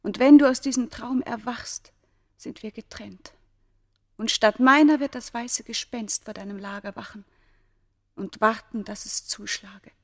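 Breathy, high-voiced noblewoman in love. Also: fairly even intonation, measured pace. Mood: passionate, mournful. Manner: formal, poetic, breathy delivery. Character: noble governor's daughter of ancient Egypt, passionately in love. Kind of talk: German radio play acting.

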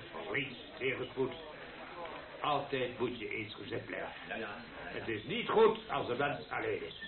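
A man playing a folksy, jovial older father of the bride giving a rambling, self-deprecating wedding speech in a radio play. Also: medium pitch. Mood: sentimental. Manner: rambling, self-deprecating, hesitant public speaker. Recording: tinny band-limited old radio play, echoey crowded hall.

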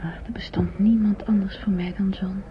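A woman acting an earnest, devoted young woman in an old radio drama, with soft, halting, often half-whispered delivery on a muffled recording. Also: medium pitch, steady tone, slight background noise, close to an everyday voice. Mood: shy confession.

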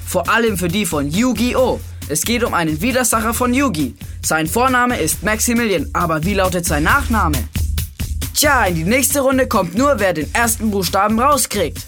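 A woman's voice: mid-range voice, expressive, moderate pace, a bit bright, lightly acted. Woman playing a cocky boy quizmaster over music. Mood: upbeat. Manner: breezy, teasing, slangy. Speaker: quiz show host. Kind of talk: scripted children's quiz presenting. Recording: music behind the voice.

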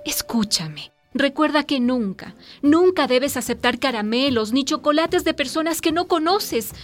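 A woman's voice: high-pitched, expressive, a little slow. A woman playing a caring, firm mother who reassures and instructs in a radio drama. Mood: reassuring, firm. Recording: music behind the voice.

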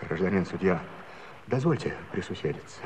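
A man's voice: low voice, expressive, normal speed, slight background noise. An actor playing an aggrieved village Cossack who tells his tale in a folksy, drawling, plaintive way, in theatrical musical comedy style. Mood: deferential, pleading. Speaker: narrating character. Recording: reverberant hall-like studio recording.

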